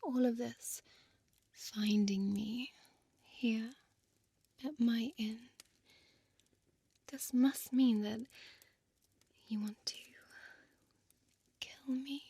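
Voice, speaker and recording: A woman's voice: a little high, fairly even intonation, very slow, pauses often, dark tone, husky, no room sound, heavily acted. A woman playing a seductive, menacing female vampire in an intimate audio roleplay, toying with her prey in a teasing half-whisper and archaic wording. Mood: condescending, menacing.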